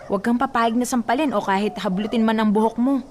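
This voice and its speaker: A woman's voice: fairly high pitch, fast, slight background noise, close to an everyday voice. A woman acting a feisty, outspoken neighbor wife. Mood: prying concern. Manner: chatty, emphatic, outspoken. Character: feisty, nosy married neighbor woman, protective and tough. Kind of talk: Filipino radio drama acting.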